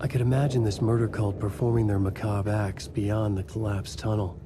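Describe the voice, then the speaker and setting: An actor playing a brooding, desperate male crime writer, narrating his own nightmare in deliberate first-person noir style in a game. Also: fairly low pitch, level tone, background noise, lightly acted.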